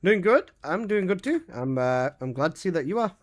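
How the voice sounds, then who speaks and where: A man's voice: high voice, big pitch swings, brisk. A man in his twenties, a game streamer chatting casually and jokingly with his live chat, swearing often. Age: 20s.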